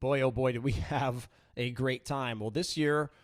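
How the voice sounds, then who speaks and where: A man hosting his own podcast in an upbeat, energetic manner, his voice still slightly raspy from a recent voice loss. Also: mid-range voice, measured pace, dry recording, studio quality. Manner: upbeat, energetic, lots of shout-outs. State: recovering from voice loss. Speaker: podcast host. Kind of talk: casual podcast monologue.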